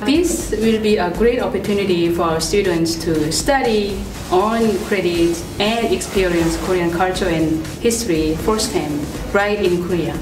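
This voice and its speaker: A woman's voice: on the low side, measured pace, few pauses, noisy background. A woman course instructor speaking steadily in a promotional interview, with occasional fillers. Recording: roomy, distant mic, music behind.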